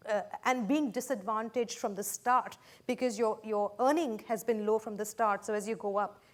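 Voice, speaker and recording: A woman moderating a panel discussion, measured and questioning, citing statistics to her guests. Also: medium pitch.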